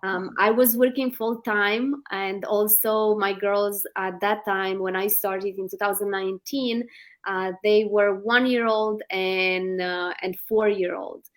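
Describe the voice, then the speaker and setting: A woman in her thirties from Romania talks as a guest in a live online interview. She speaks earnestly, at a middle pitch, and her sentences often stop on ums and restarts. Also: fairly even intonation, slow.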